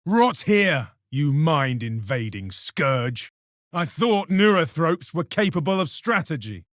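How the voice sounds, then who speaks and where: An actor playing a scornful, coldly righteous space marine in a video game. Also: male voice, on the high side, sing-song, slow, a bit bright, dry recording, studio quality, heavily acted. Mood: cold contempt. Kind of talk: video game combat voice lines.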